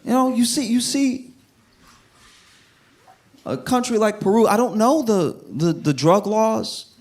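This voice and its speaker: Animated male stand-up comic, high voice. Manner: animated storytelling, acts out scenes in quick bursts. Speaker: stand-up comedian. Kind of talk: stand-up routine. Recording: live stage recording with audience noise. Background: audience laughter.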